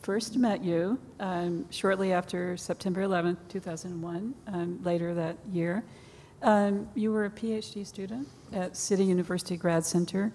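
A woman moderating an oral history panel, asking gentle, unhurried questions. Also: on the low side, fairly even intonation. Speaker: interviewer, moderator. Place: conference hall. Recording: echoey hall, faint background noise.

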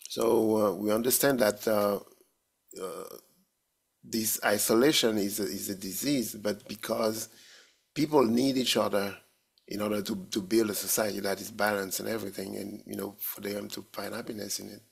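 A man speaking earnestly on a group video call, pausing with fillers. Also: on the low side, fairly even intonation, gravelly, husky. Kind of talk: casual group discussion.

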